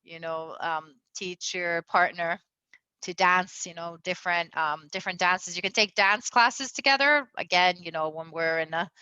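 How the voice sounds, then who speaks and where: Woman, raised in Venezuela, relationship coach, bright tone, rambling fillers, slightly muffled sound. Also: on the low side, moderate pace, clear, resonant tone, no echo. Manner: chatty, lots of fillers, laughs often. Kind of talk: casual advice talk.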